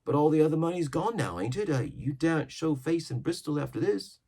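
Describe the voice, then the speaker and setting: A man voicing an eager young sailor lad, pitched well above his own voice. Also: animated, brisk, creaky, warm tone, husky, exaggerated voice.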